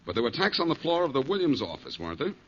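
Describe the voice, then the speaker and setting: An actor playing a shrewd, confident American detective in a 1940s radio drama, wry and insistent. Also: male voice, mid-range voice, big pitch swings, racing, natural voice. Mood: accusing. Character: shrewd, confident male amateur detective, coolly needling. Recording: muffled, hissy 1940s radio recording.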